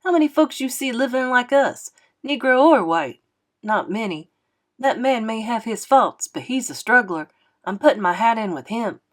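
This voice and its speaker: A woman's voice: a little high, animated, normal speed, natural voice. A woman voicing a wry, easygoing male jazz pianist in a storybook, arguing his case. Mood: defensive, wry. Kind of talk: acted storybook dialogue.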